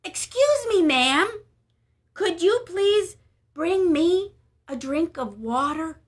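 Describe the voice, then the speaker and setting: A woman's voice: very high-pitched, animated, takes it slowly, voice pushed up, heavily acted. A woman voicing a polite, hungry male prophet who politely asks for food and water in a storybook reading. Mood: pleading.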